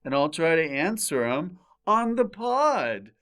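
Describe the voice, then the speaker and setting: A man's voice: a little high, big pitch swings, slow pace, fairly bright tone. A man hosting a podcast in casual, jokey conversation, informal and playful. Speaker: podcast host. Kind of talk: casual comic podcast chat.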